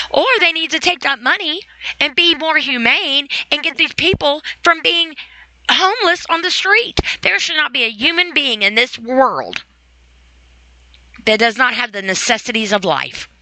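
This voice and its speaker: Woman podcast host, forceful bright tone, very dry sound, informal opinionated solo talk with emphatic repetition. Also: fairly high pitch, no echo, rough recording. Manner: emphatic, repeats phrases for stress.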